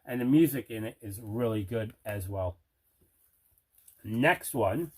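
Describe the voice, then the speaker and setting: A man's voice: on the low side, animated, slow, a little mellow, slightly rough. A man hosting a casual unboxing video, chatting away and repeating himself for emphasis. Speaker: host of an unboxing video show.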